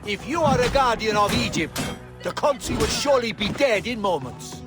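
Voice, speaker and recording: A man's voice: extremely high pitch, expressive, moderate pace. A man playing a brash, sneering, bloodthirsty warlord in a video game, taunting and bellowing. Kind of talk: video game boss dialogue. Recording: very loud music behind the voice.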